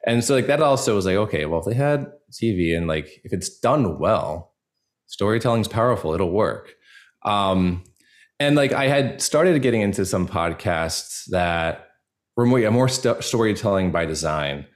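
A man's voice: lowish voice, animated, moderate pace. A young man answering interview questions as a guest, talking in a casual, earnest, rambling way peppered with "like" and "you know". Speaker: podcast guest, actor and audio drama creator.

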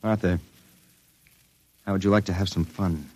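An actor playing a cool, probing undercover investigator in an old radio drama, terse, matter-of-fact and wry. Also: male voice, low voice, steady tone, moderate pace, a little mellow, husky. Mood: coaxing. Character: cool, probing male undercover investigator narrating his case. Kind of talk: old-time radio detective narration and dialogue.

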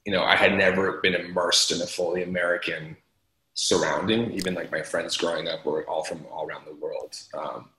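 A man's voice: low-pitched, fairly even intonation, a little fast, bright, forceful tone, reverberant, a little hiss or hum, poor audio. A young man from Los Angeles, a guest in an interview, telling his story in a chatty way with frequent laughs. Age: young adult. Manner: chatty, laughs often, lots of fillers. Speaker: entrepreneur guest. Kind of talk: casual interview chat.

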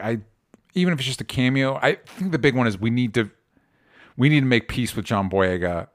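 A young adult man chatting on a podcast in a middle-pitched voice. He talks casually and rambles, trips over restarts, and drops in emphatic swears. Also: a bit bright, clean tone. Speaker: podcast co-host. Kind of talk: casual pop-culture chat.

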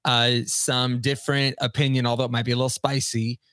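Enthusiastic guy hosting a podcast, mid pitch, pretty dry close sound. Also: brisk, dry recording. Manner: energetic, enthusiastic. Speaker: podcast host. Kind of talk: casual podcast interview.

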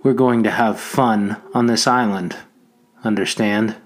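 A man voicing a menacing talking pig's head that mocks, coaxes and threatens, at a slow, measured pace. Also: lowish voice, fairly even intonation, lightly acted. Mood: threatening. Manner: mocking, coaxing then threatening.

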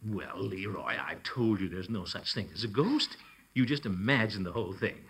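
A man's voice: on the low side, normal speed, a bit bright. An actor playing a pompous middle-aged uncle who hides his fear behind hesitant bluster, in old-time radio comedy style. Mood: uneasy bravado. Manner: pompous bluster, hesitant stammering, nervous chuckles. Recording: noisy vintage radio recording.

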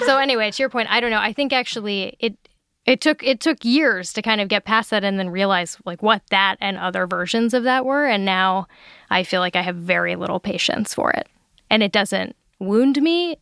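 A woman's voice: mid-range voice, normal speed, vocal fry, a bit bright, smooth, no room sound. A woman podcast host in a studio, talking candidly and thoughtfully, laughing easily. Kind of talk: casual studio chat. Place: recording studio. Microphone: studio microphone.

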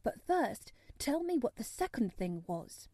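A woman's voice: fairly high pitch, big pitch swings, unhurried, warm tone, dry recording. A woman voicing a spoiled, sickly young boy of wealth who talks in a grand, commanding way in a storybook reading. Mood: curious, lordly.

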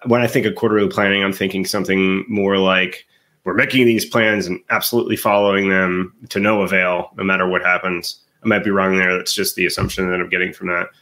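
A man's voice: medium pitch, a bit bright. A man co-hosting a podcast, congested from the flu, rambling as he thinks aloud. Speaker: podcast co-host. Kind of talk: casual podcast chat.

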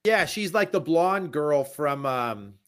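A man's voice: a little high, lively intonation, takes it slowly, a bit bright, clean tone. A man talking informally and conversationally in a casual group podcast about movies. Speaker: podcast panelist.

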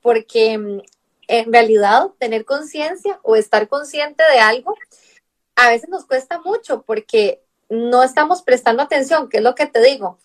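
A woman's voice: on the high side, lively intonation, unhurried. A young adult woman from Costa Rica with a Costa Rican accent, a nutritionist guest explaining patiently and often tagging sentences with a questioning aside. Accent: Costa Rican Spanish. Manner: explanatory, fills with rhetorical "¿verdad?". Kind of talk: conversational interview.

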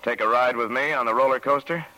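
An actor playing a cold, determined young avenger in an old-time radio crime drama, terse and menacing. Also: male voice, mid-range voice, quick pace, bright, forceful tone, lightly acted. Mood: cool, needling. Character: cold, determined young man seeking vengeance, mistaken for cop. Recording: noisy vintage radio recording.

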